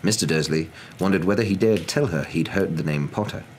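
A young man narrating a story in a soft, dramatic ASMR reading style. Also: sits low, brisk, slight background noise, low-quality recording. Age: young adult.

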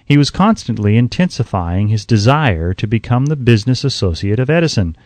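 A man reading an audiobook as scripted narration, in a measured, emphatic way, close to the microphone. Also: medium pitch, very animated, a little mellow, no room sound.

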